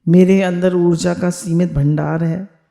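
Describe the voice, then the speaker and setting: A woman's voice: on the low side, fairly even intonation, measured pace, vocal fry, dark tone. A woman spiritual teacher on a video call, leading listeners through affirmations they repeat after her, mixing Hindi with English phrases.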